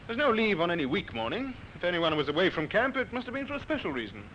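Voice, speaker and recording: An actor playing a polite, obliging army major with clipped formality in an old radio drama. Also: male voice, high-pitched, big pitch swings, fast, a bit bright. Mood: obliging, courteous. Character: courteous, obliging army major, camp commander. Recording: muffled, noisy old radio broadcast.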